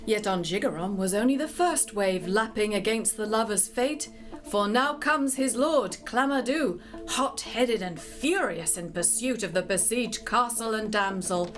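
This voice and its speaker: A woman narrating a medieval legend as a scripted story, with dramatic, literary, measured phrasing. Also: mid-range voice, fairly bright tone, faint music behind.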